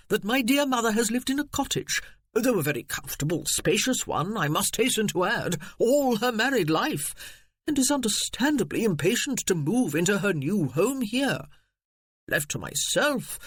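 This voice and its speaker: A puffed-up, blustering gentleman cousin, as a male narrator puts him on in a period storybook. He talks high and loud with big swings in his voice, full of his own importance. The recording is dry and close. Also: sing-song, normal speed, no room sound, full character voice. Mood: fawning. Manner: pompous, blustering, then fawning. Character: pompous blustering male cousin, middle-aged.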